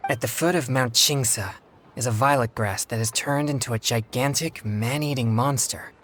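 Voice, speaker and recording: An actor playing a polite, earnest young male exorcist in a video game, speaking in formal, courteous, measured phrases. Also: mid-range voice, fairly bright tone, lightly acted. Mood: earnest, pondering. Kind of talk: scripted video game dialogue.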